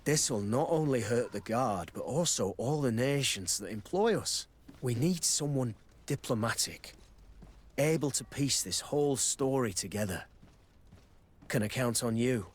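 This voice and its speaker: An actor playing a serious, worried military quartermaster in a video game, with a formal delivery. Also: male voice, medium pitch, expressive, a little slow, husky. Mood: grave, concerned. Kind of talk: dramatic video game dialogue.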